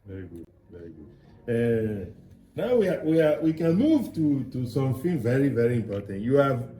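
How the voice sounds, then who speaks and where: Soft-spoken deep-toned interviewer in echoey room. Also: male voice, big pitch swings. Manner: soft-spoken, halting, frequent murmured agreement. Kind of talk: interview questions and backchannel.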